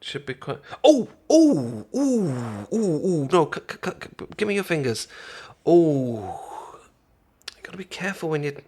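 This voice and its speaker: A British man playing a caring, chatty best friend in an intimate ASMR roleplay, talking casually with halting, stammering explanations. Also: a little high, very animated, warm tone, slightly rough, heavily acted. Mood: alarmed, concerned. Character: caring, chatty male best friend teaching sushi making.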